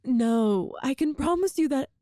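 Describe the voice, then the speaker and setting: A woman playing a cruel, seductive evil empress in an ASMR roleplay drama, speaking with imperious, deliberate menace. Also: high-pitched, animated, mellow, no echo, clean studio sound. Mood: haughty persuasion.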